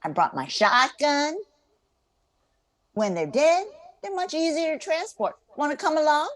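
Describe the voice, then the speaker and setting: Older lady acting excitable folksy bayou gal, high voice that jumps all over, radio play. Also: a little slow. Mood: excited, eager. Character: excitable bayou woman, shotgun-toting swamp rat hunter.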